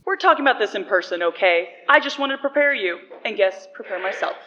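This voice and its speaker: Over a slightly noisy phone line, a curt grown daughter speaks with lively pitch. She is a tense, determined woman in a radio drama. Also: fast. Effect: phone call. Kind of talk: radio play acting.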